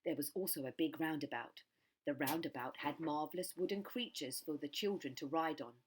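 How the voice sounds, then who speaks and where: A woman's voice: low voice, brisk, slight background noise. A woman reading a children's story aloud as a primary school storyteller.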